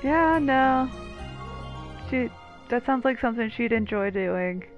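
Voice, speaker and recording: A woman's voice: a little high, animated, slow pace. A woman streamer giving casual commentary on a game and reading dialogue aloud. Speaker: gaming streamer. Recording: dull, muffled mic over game music. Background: game music.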